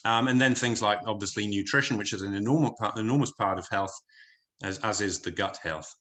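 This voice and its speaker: A man from the UK with an English accent softened by years in New Zealand, giving a chatty, filler-heavy wellness talk on a video call. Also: lowish voice, a little fast. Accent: English with a slight New Zealand tinge. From United Kingdom. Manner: chatty, hesitant, many fillers and restarts. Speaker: wellness presenter. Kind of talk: online corporate presentation.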